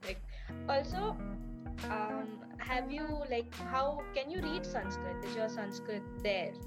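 A woman's voice: a little high, animated, slow pace, loud music behind the voice. A woman hosting a podcast, asking casual interview questions in an informal way, often saying "like". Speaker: podcast host.

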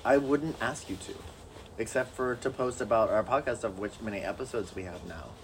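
A very low-voiced man co-hosting a casual podcast, blunt and wry as he talks through loose, filler-filled thoughts. Steady background noise is clearly audible behind him. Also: brisk, rough recording. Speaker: podcast co-host. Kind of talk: casual chat.

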